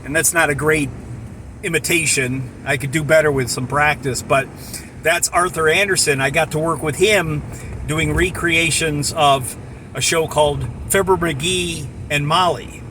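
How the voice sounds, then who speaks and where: A middle-aged man casually sharing personal memories in a reflective, halting way, in short phrases. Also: fairly high pitch, steady tone, noisy background. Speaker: storyteller, amateur voice actor.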